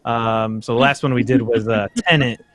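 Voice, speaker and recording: A young man talking casually as a guest, relaxed and halting, with many pauses and fillers. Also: mid-range voice, no room sound. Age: young adult. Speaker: streamer and guest reader. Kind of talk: casual chat.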